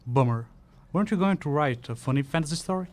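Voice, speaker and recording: A man's voice: fairly high pitch, expressive, quick pace, darkish tone, close to an everyday voice. A man playing a nitpicking sidekick who helps narrate a mock fantasy tale in a scripted audio play. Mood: questioning.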